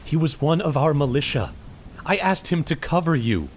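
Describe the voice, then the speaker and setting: A man's voice: on the high side, big pitch swings, moderate pace, a little hiss or hum. An actor playing a frustrated townsman who confronts and reproaches the lead in a fan audio drama. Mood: angry. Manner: calling out, reproachful.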